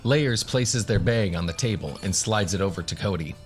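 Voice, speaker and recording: A man's voice: sits low, normal speed, soft background music. A man narrating a scripted fantasy audio drama in the role of game master.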